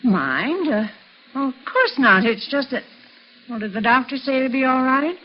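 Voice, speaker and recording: An actress playing a fussy housekeeper in 1950s radio drama style. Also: female voice, sits high, sing-song, moderate pace. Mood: flustered, probing. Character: fussy female housekeeper, secretly an accomplice. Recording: muffled, hissy vintage radio recording.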